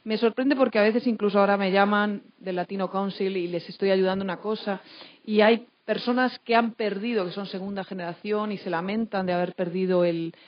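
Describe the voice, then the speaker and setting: A woman's voice: medium pitch, steady tone, fairly quick. A woman from Madrid with a Spanish accent from Spain, speaking as a teacher on a panel in a talkative, anecdotal way with frequent tag questions. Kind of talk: unscripted panel discussion. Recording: dull, lo-fi event recording.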